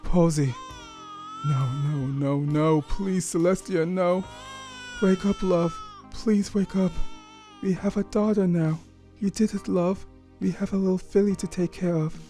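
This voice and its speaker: A man's voice: high voice, steady tone, unhurried, mellow, faint music behind, voice pushed up. A man voicing an emotional young pony stallion husband in a story, speaking in a strained, urgent, pleading way. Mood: desperate pleading. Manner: urgent repeated pleading and encouragement. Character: frantic young stallion husband, tender and desperate.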